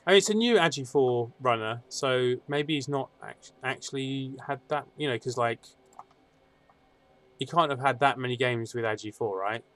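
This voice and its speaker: A man's voice: medium pitch, normal speed, fairly bright tone, slight background noise. A man co-hosting a casual stream about a fantasy sports board game league, chatty and jokey with many restarts. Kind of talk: casual chat commentary.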